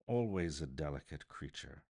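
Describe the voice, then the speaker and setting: A man voicing a calm, gentle ghost in a storybook reading, measured and quietly knowing. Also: rumbling low, gravelly, voice pushed down, exaggerated voice. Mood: solemn, tender. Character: calm, gentle, solemn ghostly spirit guide.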